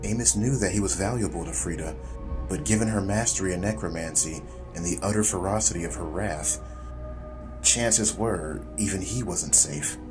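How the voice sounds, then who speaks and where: A man narrating a scripted audiobook story in a measured, deliberate, dramatic storytelling style. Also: sits low, over music, low-quality recording.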